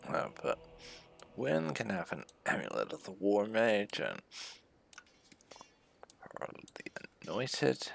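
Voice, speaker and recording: A man gives casual let's play commentary, muttering to himself in short, unhurried fragments. His voice has a lot of fry and moves widely in pitch. Music plays softly behind him. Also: wildly swooping pitch. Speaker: gaming video host.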